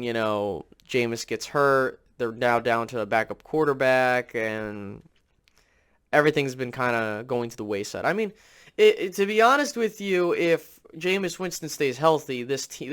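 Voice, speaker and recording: Slightly raspy, nasal man talking sports. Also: mid-range voice, fairly bright tone. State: under the weather, congested. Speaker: sports channel host. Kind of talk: casual sports commentary to viewers.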